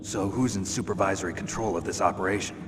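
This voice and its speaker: A man voicing a gruff, terse, skeptical veteran soldier asking probing questions in a dramatic video game. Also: low voice, fast, long reverb tail, close to an everyday voice. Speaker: special operative being briefed.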